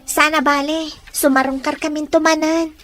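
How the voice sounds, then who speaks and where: A woman's voice: sits high, level tone, normal speed, bright, forceful tone. An actress playing an earnest, polite young woman in a radio drama, with faint music behind.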